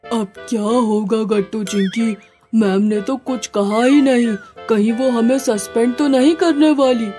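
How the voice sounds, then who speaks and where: A woman's voice: a little high, fairly even intonation, measured pace, warm tone, faint music behind, lightly acted. A woman voicing a fretful young schoolboy in a children's cartoon. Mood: anxious.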